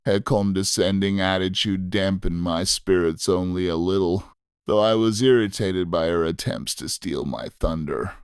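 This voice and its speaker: Low-pitched man narrating a nostalgic story. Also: moderate pace, clean tone, no room sound, studio quality. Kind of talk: scripted first-person nostalgic storytelling narration.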